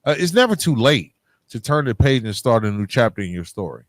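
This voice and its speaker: Casual podcast host guy, slangy and encouraging, mid pitch, really dry close mic. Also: sing-song, a little fast, no room sound. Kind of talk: casual conversational interview and sign-off.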